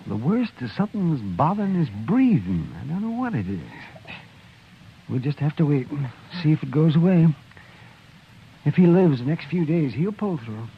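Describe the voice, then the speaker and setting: A gravelly old frontier doctor. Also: male voice, fairly high pitch, sing-song, normal speed, slight background noise. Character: weary older male frontier doctor, gentle. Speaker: town doctor. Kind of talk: 1950s radio drama acting. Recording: dull vintage radio broadcast.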